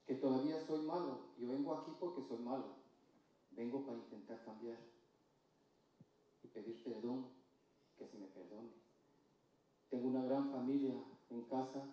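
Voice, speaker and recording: A man from Ecuador with an Ecuadorian Spanish accent, giving a slow, halting, earnest personal testimony in church. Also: medium pitch, warm tone. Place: church hall. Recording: distant mic in echoey church hall.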